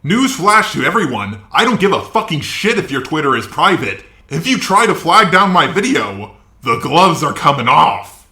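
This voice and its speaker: A man's voice: a little high, big pitch swings, moderate pace, pushed and bright, reverberant. A man doing combative, profane online commentary with a sarcastic, exasperated way of talking. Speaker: YouTube commentator.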